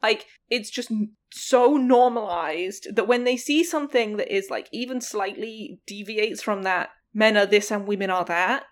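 A woman's voice: mid-range voice, normal speed, few pauses. A woman co-hosting a podcast, talking candidly and laughing often. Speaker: podcast co-host. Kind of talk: casual chat.

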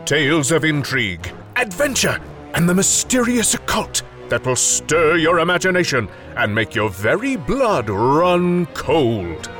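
Theatrical, wildly swooping radio announcer. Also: male voice, on the high side, sing-song, unhurried. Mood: ominous, grand. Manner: grandiose, theatrical. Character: dramatic, grandiose male old-time radio announcer. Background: loud music.

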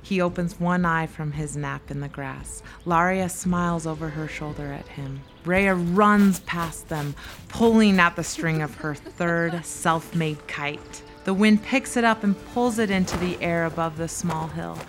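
A woman narrating an audio drama in a measured, lyrical storytelling manner. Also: lowish voice, soft background music.

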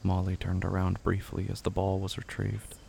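A man narrating scripted horror fiction in a measured, somber, deliberate manner. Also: deep voice, completely flat delivery, gravelly, dark tone, a little hiss or hum.

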